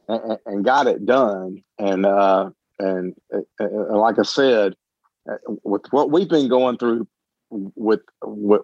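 A man talking casually in a group discussion, halting and often repeating his words as he goes. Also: lowish voice, a little mellow, breathy edge, dry recording, muffled recording. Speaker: book club member.

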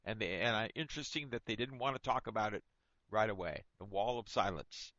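A man's voice: on the low side, brisk, sharp tone, no room sound. A man moderating an informal book discussion, speaking appreciatively in broken phrases with self-corrections. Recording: dull, lo-fi, dry sound.

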